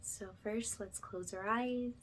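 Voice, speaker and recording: A soft-spoken woman leading an ASMR guided meditation in a gentle, informal, instructive way, slipping at times into a whispery tone. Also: medium pitch, fairly even intonation, slow pace, a little hiss or hum, poor audio. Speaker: ASMR energy healing host and guide.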